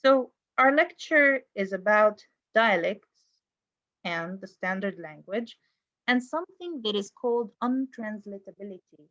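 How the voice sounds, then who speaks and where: A woman's voice: medium pitch, expressive, a little slow, a bit bright, dull audio. A woman lecturer teaching an online class over a video call, talking conversationally and often putting questions to her students. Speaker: university lecturer.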